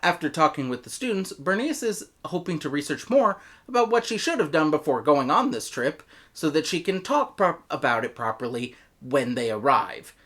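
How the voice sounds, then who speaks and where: A woman with an American accent giving a scripted review in an animated manner, with bursts of mock-exasperated emphasis. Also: deep voice, normal speed, few pauses. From United States. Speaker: audio drama reviewer.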